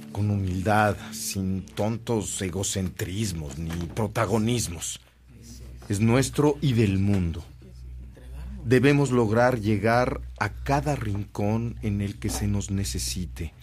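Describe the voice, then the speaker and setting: A devout Mexican priest in a radio drama. He speaks slowly in a lowish voice, solemn and sincere, over soft music. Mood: solemn, heartfelt.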